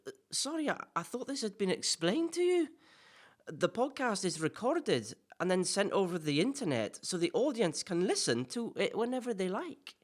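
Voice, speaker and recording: A man's voice: high-pitched, big pitch swings, normal speed. A man playing a polite, eager-to-please podcast host in a comic audio play, hesitant, apologetic and nervously deferential. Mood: patient, exasperated.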